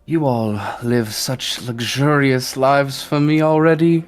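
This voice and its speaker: Slow, gloating dark entity, voiced by a game master. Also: medium pitch, steady tone, clear, resonant tone, faint music behind, lightly acted. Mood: gloating, sinister. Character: menacing dark entity gloating over its suffering sweet children.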